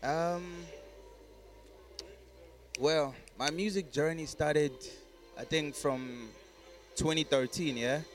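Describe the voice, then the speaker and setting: A man's voice: fairly high pitch, slow, long pauses, soft background music. A man of twenty-six from Congo, raised across Africa, chatting casually as an interview guest with slangy, repeated tag phrases. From Congo, grew up across Africa. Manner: slangy, frequent "you know what I mean" tags. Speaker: podcast guest, musical artist.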